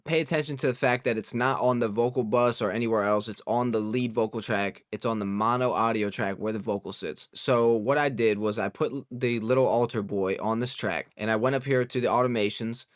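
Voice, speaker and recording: A young man hosting a casual software tutorial, explaining informally step by step as an audio engineer. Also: mid-range voice, a little fast, few pauses, dry recording, studio quality.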